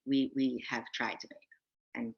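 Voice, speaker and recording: A woman on a video-call panel, answering in a halting, casual way with many restarts and fillers. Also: rumbling low, little pitch movement, gravelly. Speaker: brand founder on a panel. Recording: dull lo-fi audio.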